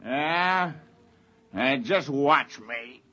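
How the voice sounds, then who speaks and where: A man's voice: medium pitch, lively intonation, very slow, dull audio. An actor playing a rowdy, drunken, belligerent spaceman, boisterous and loutish, in 1950s radio drama style. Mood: sullen, defiant. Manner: boisterous, bellowing, slurred carousing. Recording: old radio recording with crowd noise.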